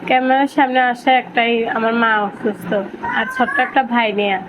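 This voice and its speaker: A woman's voice: a little high, fairly even intonation, brisk. A young woman with a Bangladeshi accent giving plain, colloquial answers in a casual on-camera interview. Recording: dull lo-fi audio with loud background noise.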